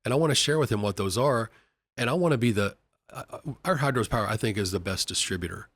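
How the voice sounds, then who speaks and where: Earnest low-voiced man from Kentucky. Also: fast talker, no room sound. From Kentucky, USA. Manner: earnest, repeats words and restarts often. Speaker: company executive vice president. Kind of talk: casual podcast interview. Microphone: studio podcast mic.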